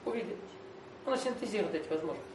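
High-voiced man lecturing in an echoey hall. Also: lively intonation, racing. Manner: explaining, lecturing with rhetorical questions. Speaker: spiritual teacher. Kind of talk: lecture to a seated group. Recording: echoey hall, recorded from a distance with faint noise.

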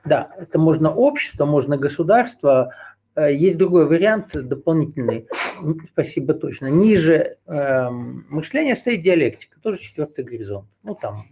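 A man's voice: a little high, very animated, moderate pace, slightly rough, highs cut off. Man lecturing in winding, rephrasing talk. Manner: discursive, circles back and rephrases, hesitant fillers. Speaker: teacher leading a group session. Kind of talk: unscripted esoteric lecture.

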